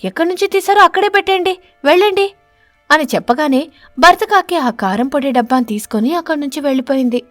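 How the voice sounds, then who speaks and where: A woman storyteller reading a scripted narration for an animated moral story. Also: fairly high pitch, dry recording.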